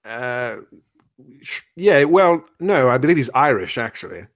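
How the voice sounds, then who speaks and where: A man playing an excitable, self-assured gentleman detective in comic old-time radio style, theatrical and emphatic. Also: mid-range voice, measured pace. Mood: urgent, exasperated.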